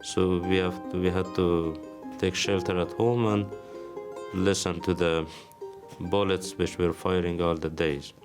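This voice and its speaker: A young Afghan man speaking English with an Afghan accent, telling his life story haltingly with many pauses. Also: sits low, flat delivery. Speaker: interviewee. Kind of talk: documentary testimony. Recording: music bed under the voice.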